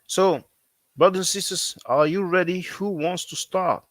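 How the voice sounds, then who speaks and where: A man who is not a native English speaker, talking casually as a language teacher, repeating himself often and filling pauses with "you know.". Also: high voice, fairly bright tone, no room sound. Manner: repetitive, conversational, frequent fillers. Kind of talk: casual promotional talk.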